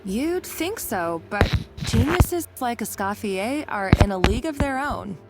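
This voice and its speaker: Fairly high female voice, articulate, analytical, explanatory game dialogue, clear music behind. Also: lively intonation, unhurried. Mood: musing. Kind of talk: video game voice acting.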